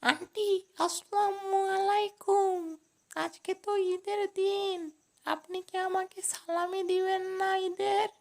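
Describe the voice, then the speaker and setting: A performer voicing a poor, earnest little boy in a children's moral story, speaking in a slow, pleading, innocent way. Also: very high voice, level tone, vocal fry, a little mellow, exaggerated voice.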